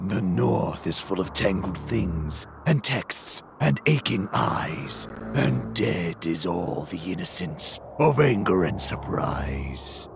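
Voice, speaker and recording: An actor playing a menacing, brooding warlord villain in a video game cutscene. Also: male voice, big pitch swings, slow pace, vocal fry, few pauses, music in the background, heavily acted. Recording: noisy low-quality game screen capture.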